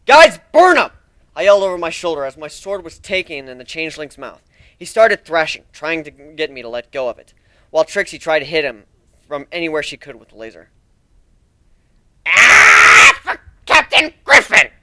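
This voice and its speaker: A man's voice: a little high, quick pace, fairly bright tone, dry recording. A man giving a dramatic first-person narration of a fantasy action story.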